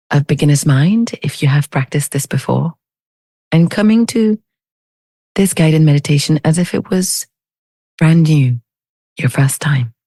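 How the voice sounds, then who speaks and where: Female meditation teacher, low mellow voice, very measured delivery, close dry sound. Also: no echo. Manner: gentle, unhurried, with long pauses. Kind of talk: guided meditation.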